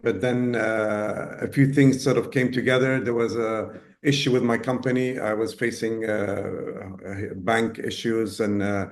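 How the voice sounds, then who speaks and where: Halting low-voiced man from Ghana. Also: little pitch movement. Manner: hesitant, many fillers and restarts. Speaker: guest, business owner. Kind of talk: casual interview talk.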